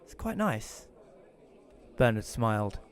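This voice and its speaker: A man's voice: on the low side, very animated, slow pace. A man playing an awkward, reclusive widower who narrates his own audio drama in an informal, conversational way. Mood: hesitant. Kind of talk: first-person audio drama narration and dialogue.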